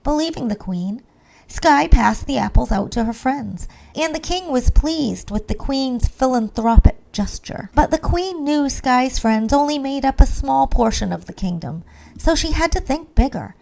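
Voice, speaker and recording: A woman's voice: high-pitched, lively intonation, measured pace, creaky, a little mellow, rough recording. A woman reading a scripted fairy-tale narration as the storyteller.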